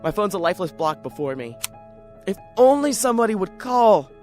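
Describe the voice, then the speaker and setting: A man's voice: high-pitched, sing-song, a little fast, darkish tone, soft background music. A man playing a lonely, neurotic, paranoid writer in an introspective, theatrical radio drama monologue. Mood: self-conscious, brooding.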